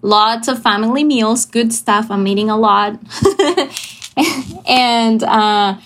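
A woman from Venezuela, a missions pastor chatting casually over a video call, laughing often and pausing on fillers. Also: a little high, fairly even intonation, fairly bright tone, a little hiss or hum. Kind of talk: casual interview chat. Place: family home.

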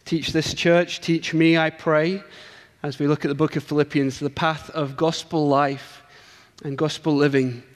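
A man's voice: fairly high pitch, level tone, unhurried, long reverb tail. A man with an English accent, a guest preacher delivering an earnest sermon with emphatic build-ups. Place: church sanctuary.

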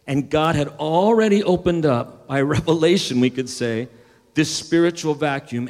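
Guy preaching a sermon, kinda high voice, lots of reverb from the hall. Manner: preaching with rising emphasis, stumbles and restarts. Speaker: pastor.

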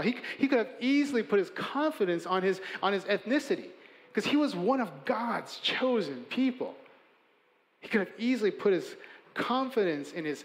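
Guy preaching, earnest, stacks up lists then goes quiet, high voice. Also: long reverb tail, poor audio. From Chicago, USA. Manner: earnest, emphatic preaching with building lists and hushed endings. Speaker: pastor. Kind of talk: sermon.

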